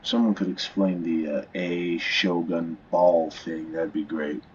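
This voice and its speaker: A man's voice: sits low, little pitch movement, unhurried, a little mellow, lo-fi sound, a little hiss or hum. A man chatting informally and rambling as he reacts to a video game. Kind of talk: casual chat commentary.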